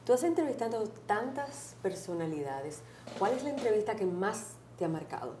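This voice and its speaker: A woman's voice: medium pitch, big pitch swings, moderate pace, warm tone. A woman hosting an interview, asking short conversational questions from a studio set. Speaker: host, interviewer. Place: studio set with curtains, seated. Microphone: lapel. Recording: echoey room with faint noise.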